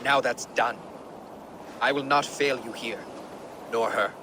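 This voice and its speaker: Man acting resolute medieval ally, unhurried, grave, mid-pitched, noisy outdoor ambience behind. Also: fairly even intonation, close to an everyday voice. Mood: solemn, resolved. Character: grave, resolute, loyal male medieval conspirator. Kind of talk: dramatic period game acting.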